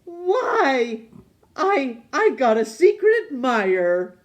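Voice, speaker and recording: A woman voicing a lonely, courteous storybook gentleman who speaks with polite, earnest wonder. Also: high-pitched, big pitch swings, slow, voice pushed up, full character voice. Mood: thrilled. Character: shy, lonely man turning cheerful and courteous. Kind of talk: storybook character voice.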